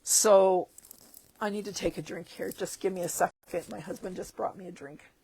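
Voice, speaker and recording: A woman's voice: fairly low pitch, fairly even intonation, normal speed, vocal fry, a little mellow, breathy edge, rough recording. A woman demonstrating stamping supplies in a casual walkthrough of a catalog, chatty with chuckling asides, and losing her voice. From Canada. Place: tabletop craft desk indoors.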